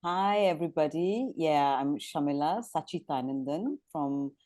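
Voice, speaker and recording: A woman's voice: low-pitched, lively intonation, normal speed, dark tone, dry recording, studio quality. A middle-aged woman from Malaysia, a panelist introducing herself in an informal professional way.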